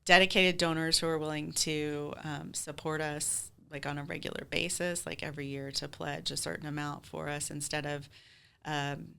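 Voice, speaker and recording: A woman's voice: sits low, gravelly, bright, forceful tone, clear, resonant tone. A woman with an American accent speaking casually as a theater group's guest in an interview.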